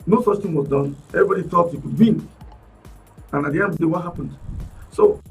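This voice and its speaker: A male guest on a radio panel, talking forcefully in a lecturing way. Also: high voice, lively intonation, fast talker, slightly rough. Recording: distant mic, faint music behind.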